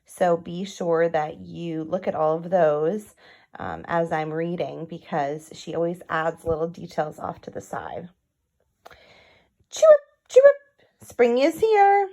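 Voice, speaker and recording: A woman's voice: deep voice, steady tone, unhurried, vocal fry, a little mellow. A woman narrating a children's storybook, with casual asides that explain things to young listeners. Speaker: picture book read-aloud reader.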